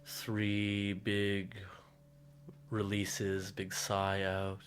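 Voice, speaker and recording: Low, slow-spoken man guiding a meditation. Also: completely flat delivery, a little hiss or hum. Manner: soothing, unhurried phrasing with audible sighs and hums. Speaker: meditation guide, music therapist. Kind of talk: guided meditation. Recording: music playing over voice, online video call. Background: meditative instrumental music.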